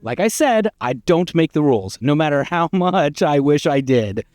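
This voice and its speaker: A man's voice: a little high, expressive, moderate pace, a little mellow, no room sound, faint music behind, pitched below the performer's own voice, lightly acted. A man voicing a pushy, exasperated, wordy narrator of a storybook game, with a theatrical and insistent delivery.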